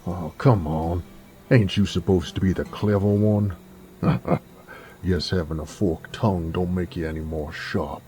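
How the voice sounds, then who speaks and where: A man playing a gruff, cocky werewolf bounty hunter in a Western audio roleplay, drawling in an American Southern accent with sardonic taunts. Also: low voice, steady tone, a little slow, dark tone, soft background music. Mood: mocking. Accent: American Southern drawl, Western style.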